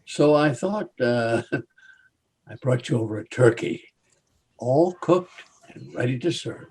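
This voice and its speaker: An actor playing a genteel, macabre undertaker in a radio comedy, speaking with mannered politeness and droll wordplay. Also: male voice, medium pitch, lively intonation, slow pace, a little mellow, breathy edge. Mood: courteous, droll. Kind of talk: old-time radio comedy acting.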